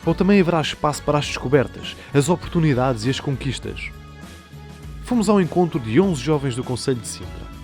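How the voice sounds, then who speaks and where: A man with a European Portuguese accent narrating a documentary from a script, measured and asking rhetorical questions. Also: on the high side, animated, darkish tone. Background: music.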